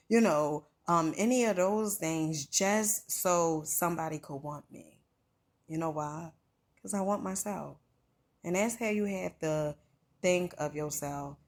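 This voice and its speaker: A middle-aged woman from New Jersey giving an earnest motivational talk, conversational and full of "you know" and "right?". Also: low-pitched.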